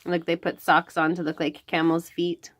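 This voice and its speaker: A woman's voice: lowish voice, little pitch movement, moderate pace, a little hiss or hum. A woman hosting a children's read-aloud, reading a picture book and chatting to the listener about the pictures. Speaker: host of a children's read-aloud library show.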